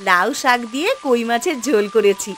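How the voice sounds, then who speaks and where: A woman voicing a poor village wife and mother in a cartoon, fretful but tender, with an expressive, homely delivery. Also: fairly high pitch, a little fast, slight background noise. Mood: caring, pleased. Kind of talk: cartoon voice acting.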